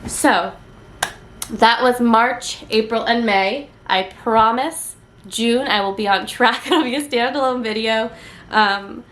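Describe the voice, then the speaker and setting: A woman's voice: a little high, slow, a bit bright, reverberant. A young woman with a congested, nasal voice chatting casually about books she read, gushing with lots of ums and likes. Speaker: book vlogger. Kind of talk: casual book review chat.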